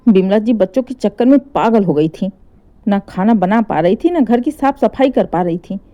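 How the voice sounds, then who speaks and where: A woman's voice: medium pitch, lively intonation, normal speed, dark tone. A woman narrating a scripted emotional family story as a storyteller.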